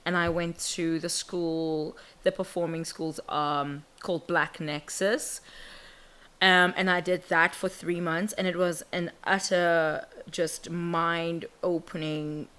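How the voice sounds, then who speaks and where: South African woman comedian, lowish voice. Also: clear, resonant tone. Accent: South African, Western Cape. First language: Afrikaans. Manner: lively, laughs often, storytelling. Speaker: guest comedian. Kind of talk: casual podcast interview.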